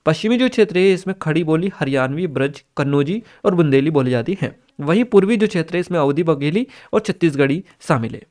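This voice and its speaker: A man's voice: a little high, animated, brisk, hardly any pauses, darkish tone. A man giving an exam-prep lesson, reading out questions and explaining the answers in an instructional way, checking in with listeners. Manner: instructional, reads notes aloud, checks in with listeners.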